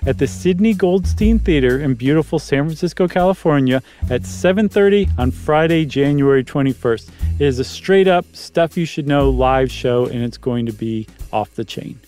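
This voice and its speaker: A man's voice: on the high side, lively intonation, moderate pace, few pauses, mellow, soft background music. A man co-hosting a podcast, chatting casually with jokes and rambling asides. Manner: joking, rambling, playful asides. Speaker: podcast co-host. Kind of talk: casual chat.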